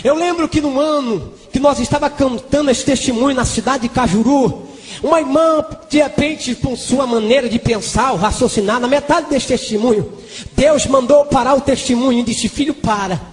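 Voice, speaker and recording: A man's voice: very high voice, moderate pace, faint music behind. A man with a Brazilian Portuguese accent preaching a Pentecostal testimony in a fervent, exclamatory manner. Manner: fervent, exclamatory, breaking into tongues. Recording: long hall reverberation.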